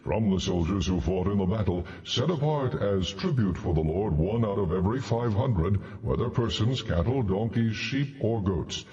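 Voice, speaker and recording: An actor playing a solemn, commanding God giving deliberate orders in a dramatized audio Bible. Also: male voice, lowish voice, a little mellow. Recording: cavernous reverb, distant, muffled.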